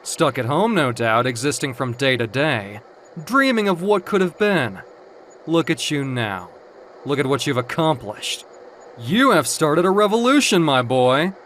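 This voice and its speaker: A man's voice: fairly high pitch, sing-song, a little slow, a bit bright, slight background noise. A man voicing a suave, patronizing demon in an audio comic book drama, theatrical and mocking. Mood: grandly praising.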